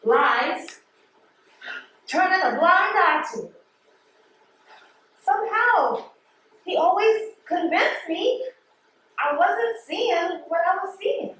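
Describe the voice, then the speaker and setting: A woman acting a self-doubting postal supervisor in a stage play, with a very high voice. She is weary and confessional, halting as she reflects. The sound is strongly echoing and picked up from a distance. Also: expressive, fairly bright tone, full character voice. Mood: regretful, reflective. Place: theatre stage. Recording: roomy stage, distant mic, faint noise.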